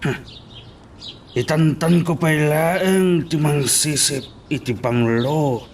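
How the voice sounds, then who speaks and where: A man playing a corrupt town mayor in an audio drama, bossy and deliberate as he gives orders. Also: a little high, fairly even intonation, background noise. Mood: calculating, businesslike. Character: corrupt, commanding male town mayor, scheming villain.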